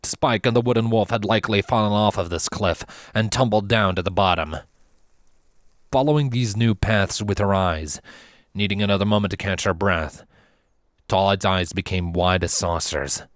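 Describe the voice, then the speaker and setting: A man reading a scripted fantasy story as narrator, in a measured, dramatic and solemn way. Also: on the low side, no echo, clean studio sound.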